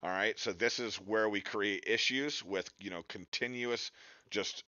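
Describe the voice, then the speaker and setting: A man with a low voice and a forceful, bright edge teaches an informal webinar. He speaks directly and conversationally, often tacking on quick check-in questions. The sound is dry and slightly muffled. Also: no echo. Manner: conversational, direct, peppers points with tag questions. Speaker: webinar presenter, digital marketing instructor. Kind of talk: informal instructional webinar presentation.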